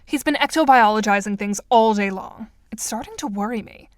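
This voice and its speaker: A cautious, articulate teenage girl, played by a woman in an audio drama. Her fairly high voice is composed and wryly skeptical, recorded dry with no room sound. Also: brisk, no room sound, natural voice. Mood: dry concern.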